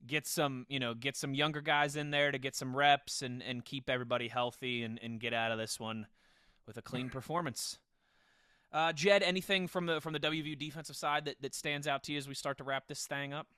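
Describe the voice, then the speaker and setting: Male sports podcast host, crisp bright voice, dry close sound, casual delivery. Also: medium pitch, a little fast, no room sound.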